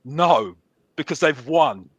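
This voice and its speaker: A man, a fan pundit on a podcast, loud and repetitive, insisting on his point. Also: a little high, lively intonation, takes it slowly, slightly rough, dry recording, muffled recording. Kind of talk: heated fan podcast debate.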